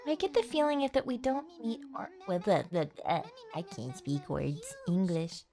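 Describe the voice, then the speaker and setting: A woman's voice: fairly low pitch, animated, moderate pace, mellow. A woman streaming a game with casual, playful, joking live commentary.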